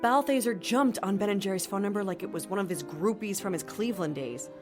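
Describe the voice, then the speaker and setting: A wry, knowing female narrator, played by an actress in an audio drama. Her voice sits at a medium pitch, reflective as she tells the story, with faint music underneath. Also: fast talker, natural voice. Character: wry female storyteller recounting events she lived through. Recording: narration over a music bed.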